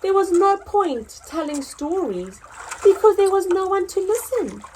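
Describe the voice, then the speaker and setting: A woman reading a picture book aloud to young children as a story time host, expressive and deliberate, drawing out words. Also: extremely high pitch, a little hiss or hum.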